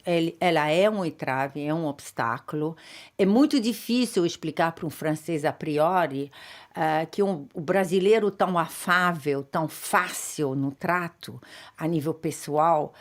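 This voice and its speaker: Woman interview guest with a low voice, speaking in a measured, hesitant way with many pauses. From Brazil. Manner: thoughtful, hesitant, many filler sounds and restarts. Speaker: interview guest, chamber of commerce president. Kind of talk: interview answers. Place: radio studio.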